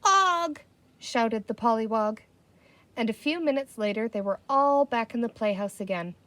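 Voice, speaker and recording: A woman narrating a children's storybook in an expressive, scripted read-aloud style. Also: fairly high pitch, normal speed. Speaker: storybook reader.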